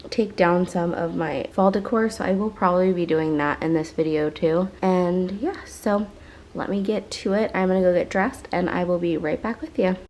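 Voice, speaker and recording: A woman's voice: fairly low pitch, a little mellow. A woman with a stuffy, congested voice from a cold, chatting casually through a day-in-the-life vlog at home on a handheld camera, rambling with fillers. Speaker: vlogger. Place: at home, indoors.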